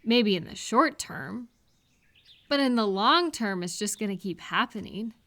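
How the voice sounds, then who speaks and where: A woman playing a kind, caring friend in a comfort roleplay audio, speaking gently and reassuringly with soft questions. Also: mid-range voice, expressive, a little slow. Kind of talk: comfort audio roleplay, one-sided conversation.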